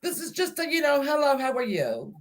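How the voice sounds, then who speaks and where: A woman's voice: high-pitched, huge pitch swings, brisk. A woman hosting a casual group livestream chat, effusive and affectionate yet blunt, with some swearing. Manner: affectionate, effusive, terms of endearment. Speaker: live stream host.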